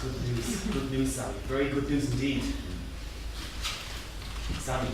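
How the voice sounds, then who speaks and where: An actor in a staged play reading, playing a nervous, deferential office colleague who speaks haltingly and awkwardly, repeating his phrases. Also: mid-range voice, steady tone, lightly acted. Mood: uneasy, hesitant. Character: nervous, deferential male office colleague bearing bad news. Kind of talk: staged reading, theatrical dialogue. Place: indoor theatre at a staged reading. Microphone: distant room microphone. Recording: distant echoey theatre room, faint noise.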